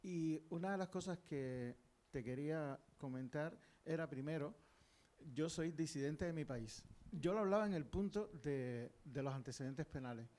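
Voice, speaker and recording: A man's voice: fairly high pitch, normal speed, a little mellow. An older man from Cuba, speaking earnestly from the audience at a panel, with many fillers and restarts. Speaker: audience member, historian. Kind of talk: public panel discussion.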